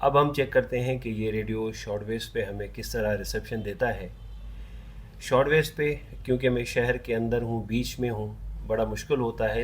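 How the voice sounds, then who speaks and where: Low-voiced man reviewing gadgets. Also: slight background noise. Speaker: vintage radio reviewer. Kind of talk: casual product review in Hindi-Urdu.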